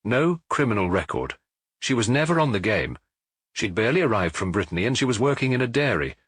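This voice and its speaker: Merry reformed petty crook voiced by a male narrator, chatty and familiar, bouncing intonation, slightly husky. Detective audiobook. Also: lowish voice, sing-song, a little fast, fairly bright tone, no room sound, clean studio sound, heavily acted. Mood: proud, chatty. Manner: jovial, garrulous, familiar.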